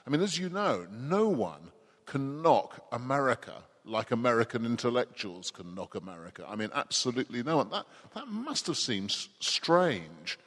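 A man's voice: medium pitch, big pitch swings, moderate pace, a bit bright. A man conducting an interview, asking long, winding questions with a dry wit. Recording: long hall reverb.

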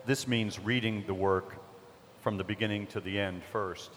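Low voiced guy running a panel, stumbly restarts, echoey hall, faint hiss. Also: fairly even intonation, normal speed, a bit bright. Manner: probing, stammers on restarts. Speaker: moderator. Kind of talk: panel discussion.

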